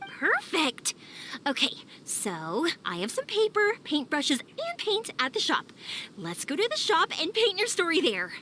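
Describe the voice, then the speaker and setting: Casting: bubbly big-sisterly young woman for a game, high-pitched, very animated, encouraging. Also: unhurried, a little hiss or hum, heavily acted. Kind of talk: video game voice acting.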